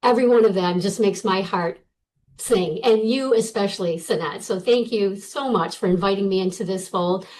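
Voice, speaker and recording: A woman's voice: medium pitch, normal speed, darkish tone, rough recording. A woman guest on a video call who tells her story in a bubbly, appreciative way. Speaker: summit guest speaker.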